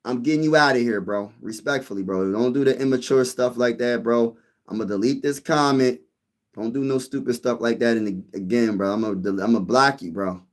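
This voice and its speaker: A man's voice: mid-range voice, fairly quick. Man, sports channel host, casual live-stream talk, rambling, repetitive, says bro. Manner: rambling, repeats phrases, says "bro" a lot.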